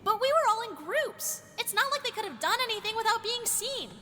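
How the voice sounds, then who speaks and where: A woman voicing a skeptical, squeaky young girl in an animated murder mystery game. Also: fairly quick, long reverb tail, slight background noise, heavily acted.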